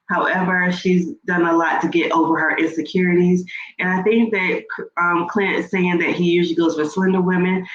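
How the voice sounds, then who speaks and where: Stuffy-nosed chatty woman. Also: fairly low pitch. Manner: chatty, rambling with fillers and restarts. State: stuffy nose. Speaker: YouTube reaction host. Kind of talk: casual reality TV recap. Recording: echoey room, dull lo-fi sound, faint noise.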